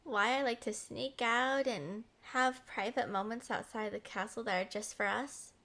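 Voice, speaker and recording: Woman acting a high-voiced, coy, smitten princess. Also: fairly even intonation, unhurried, pushed and bright. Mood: tender, smitten. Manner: coy, entitled, playfully defensive. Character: smitten, possessive, spoiled young princess. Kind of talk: scripted romantic roleplay audio.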